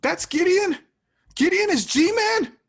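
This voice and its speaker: A woman playing an earnest, easily flustered young male rock bassist in a table read. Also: lively intonation, slow pace, exaggerated voice. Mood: shocked. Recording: noisy home mic with clicks.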